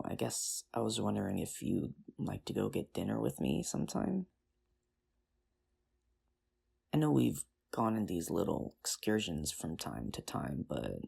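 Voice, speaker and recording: Nerdy teacher on a date asmr roleplay acting, really fry-heavy husky voice, kinda hesitant and rambly, pitch moves around a lot. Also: warm tone, exaggerated voice. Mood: nervous, tender. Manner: hesitant, rambling, self-deprecating. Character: nerdy, earnest high school teacher nervously courting a date.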